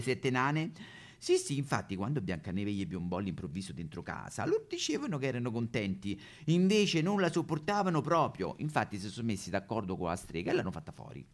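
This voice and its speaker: Man playing a coy gossipy neighbour, swooping pitch. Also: natural voice. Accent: Roman. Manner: coy, gossipy, with giggles and verbal tics. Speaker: solo stage performer. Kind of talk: theatrical comic character monologue.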